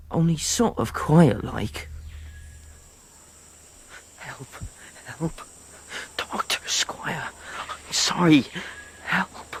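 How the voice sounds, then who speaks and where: An actor playing a frightened, earnest lad with a husky voice, narrating his adventure in a radio drama. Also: mid-range voice, slight background noise. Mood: frightened whisper.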